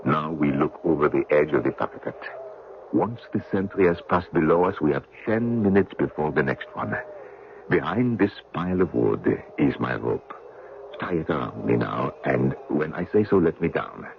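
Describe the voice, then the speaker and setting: Deep, gravelly old general. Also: male voice, animated, normal speed, heavily acted. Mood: hushed, urgent. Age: 60s. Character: French general in his sixties, tall, wry, resolute prison escaper. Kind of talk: old-time radio drama acting. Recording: dull, noisy old radio recording.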